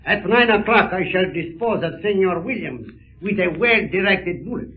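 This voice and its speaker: Casting: swaggering Spanish duelist villain, high male voice, menacing and pompous, old narrow radio sound. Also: lively intonation, normal speed. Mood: boastful, taunting. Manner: pompous, taunting, formal speech. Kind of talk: 1930s radio serial acting. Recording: scratchy narrow-band 1930s radio recording.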